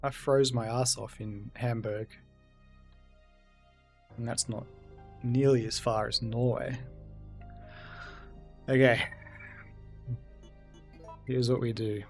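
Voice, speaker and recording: A man's voice: mid-range voice, steady tone, takes it slowly, gravelly, long pauses, faint music behind. A man streaming a video game, chatting casually with viewers and reading their messages aloud. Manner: chatty, reads and answers chat aloud. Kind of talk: casual let's-play commentary.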